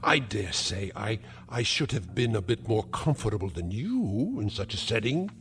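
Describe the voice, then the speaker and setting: An actor playing a cruel, sarcastic elderly uncle who is a scientist, taunting with mocking, theatrical insults. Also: male voice, fairly low pitch, sing-song, normal speed, a bit bright. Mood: sneering. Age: older. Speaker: domineering uncle. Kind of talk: 1960s TV drama acting.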